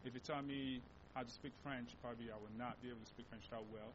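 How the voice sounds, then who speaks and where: A young man from Congo with a Congolese accent, a native Lingala speaker, preaching a sermon as a missionary guest in a church and reading scripture in an earnest, halting way that often repeats and corrects itself. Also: fairly even intonation. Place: church sanctuary. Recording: dull lo-fi recording with faint rumble.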